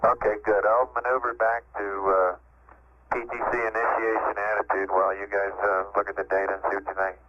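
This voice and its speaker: A man's voice: fairly low pitch, flat delivery, brisk. A man speaking as an astronaut over radio, reporting procedures in a businesslike, hesitant way. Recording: muffled, hissy 1960s space radio transmission.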